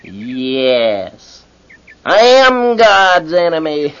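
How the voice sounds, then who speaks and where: An actor voicing a proud, evil serpent in a religious audio drama, mocking, boastful and theatrical, with cackles. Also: male voice, fairly high pitch, sing-song, drawn-out words, a bit bright, clean tone, full character voice. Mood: boastful, defiant. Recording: dull lo-fi recording.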